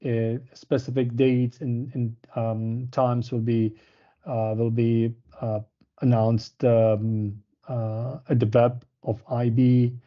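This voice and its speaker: A man's voice: mid-range voice, flat delivery, slow. A man presenting a university programme in a webinar, speaking hesitantly with many fillers and restarts. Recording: muffled lo-fi webinar audio.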